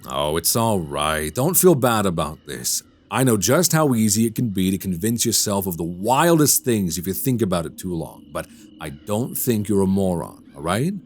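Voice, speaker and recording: An actor in a radio drama playing a sinister, condescending man who is secretly a werewolf, speaking softly and slowly with menace. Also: deep voice, sing-song. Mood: smooth, creepy flattery. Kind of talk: immersive radio drama acting.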